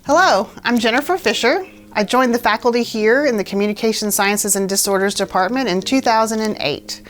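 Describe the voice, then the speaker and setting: A woman introducing herself as a speech pathology professor in a clear, composed way. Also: on the high side, brisk. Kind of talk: scripted self-introduction.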